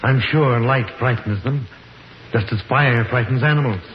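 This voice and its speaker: Through a muffled, echoey old radio recording comes an actor as a grave male professor. He speaks deliberately and with authority, as an educated expedition leader would, in the dramatic manner of forties radio. A faint hiss sits behind him. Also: medium pitch, natural voice. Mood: grave, hushed. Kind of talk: 1940s radio drama acting.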